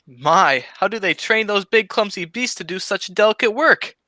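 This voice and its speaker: A woman plays a cheeky, childlike male sidekick in a radio comedy duo. The voice is bright and forceful at a middling pitch, tossing out dim wisecracks with innocence. It sounds very dry and close. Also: lively intonation, normal speed, no room sound. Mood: innocent, cheeky. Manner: innocent wisecracking punchline delivery. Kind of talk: old-time radio comedy double act.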